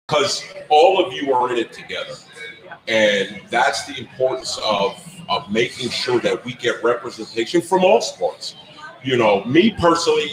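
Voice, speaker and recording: The emphatic guy from North Jersey running a live sports show out of a busy, echoey restaurant, bantering with his guests. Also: medium pitch. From North Jersey, United States. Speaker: sports talk show host. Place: live broadcast from a grill restaurant. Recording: roomy sound with crowd chatter behind.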